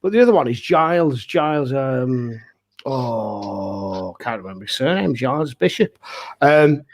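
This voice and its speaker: A man's voice: mid-range voice, animated, unhurried. Man chatting emphatically in short bursts. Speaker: pantomime dame performer, panel guest. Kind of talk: casual panel chat.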